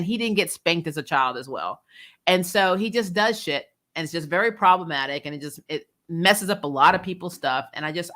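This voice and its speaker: A woman giving a casual, opinionated recap as an online reviewer, expressive and informal in her slangy delivery. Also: fairly low pitch. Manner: expressive, informal slang, frequent laughing asides. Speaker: YouTube TV show reviewer, host.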